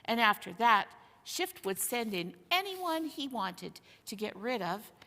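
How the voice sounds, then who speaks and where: A woman's voice: fairly high pitch, big pitch swings, unhurried, sharp tone, full character voice. Woman voicing a brash schoolboy in a reverberant hall. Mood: eager, excited. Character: brash, interrupting schoolboy, eager and scornful.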